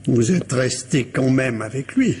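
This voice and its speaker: An actor in a French radio mystery drama playing a composed, courteous police commissioner who questions formally and patiently. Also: male voice, medium pitch, expressive, a little slow, darkish tone, a little hiss or hum, close to an everyday voice. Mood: probing, calm.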